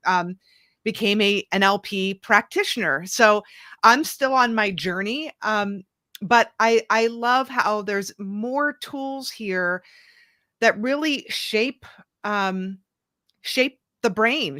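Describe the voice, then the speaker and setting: A woman's voice: medium pitch, a bit bright, no room sound, studio quality. A woman coach in her thirties, a guest telling her story in an earnest, reflective way. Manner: earnest, reflective, restarts and fillers. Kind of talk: conversational interview.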